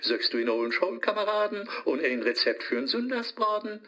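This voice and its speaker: Bossy voice assistant, high sharp voice, overeager, Low German accent, radio play. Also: male voice, big pitch swings, a little fast, heavily acted. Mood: oily, eager. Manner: overeager, officious, commanding. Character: pushy, bossy digital voice assistant with devilish cheek. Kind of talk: rhymed radio play dialogue.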